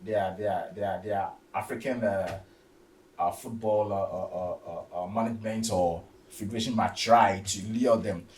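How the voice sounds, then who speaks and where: A man with a fairly deep voice talks sport without a script. He stammers and doubles back on words, hesitating often. The sound is roomy and slightly distant, with faint noise. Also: fairly even intonation, darkish tone. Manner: halting, stammering, repeating words. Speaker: football discussion panellist. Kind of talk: unscripted sports discussion.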